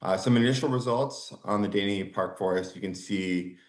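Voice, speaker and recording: A man with a low voice gives a talk over a video call, and his words ring around an echoey room. He speaks casually, filling pauses with ums and restarting his sentences. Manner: casual, lots of fillers and restarts. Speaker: geography professor. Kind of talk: conference presentation.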